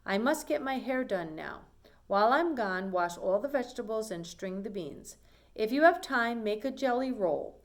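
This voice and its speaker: A woman's voice: on the high side, lively intonation, moderate pace. Woman reading as a busy, polite lady of the house. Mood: instructing. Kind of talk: children's storybook character reading.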